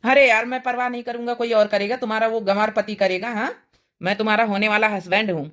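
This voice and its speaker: Woman playing a smooth-talking young male lover, mid pitch. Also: fairly even intonation, quick pace, a bit bright, natural voice. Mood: coaxing. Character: flattering, pushy young male schoolteacher lover. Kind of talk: comedy cartoon dialogue.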